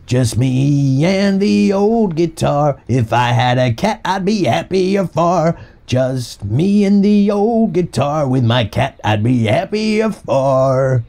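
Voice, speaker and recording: Man as a slow, sing-song old busker. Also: fairly high pitch, expressive, creaky, mellow, voice pushed up, full character voice. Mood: wistful singing. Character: old male busker, fond and wistful, singing. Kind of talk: children's storybook reading.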